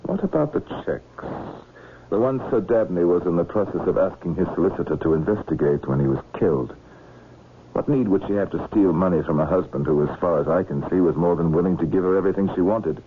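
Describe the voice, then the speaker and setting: Low-voiced, probing radio detective. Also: male voice, slightly rough. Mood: reasoning. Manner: measured, formal, insistent questioning. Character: probing, composed male murder investigator. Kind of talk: vintage radio drama acting. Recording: muffled, noisy old radio recording.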